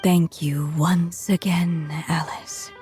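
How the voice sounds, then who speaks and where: A woman voicing a gentle, solemn, fading witch in a video game, soft, breathy and tender. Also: lowish voice, steady tone, faint music behind. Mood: weak, tender. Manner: slow, reflective, tender. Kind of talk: video game character acting.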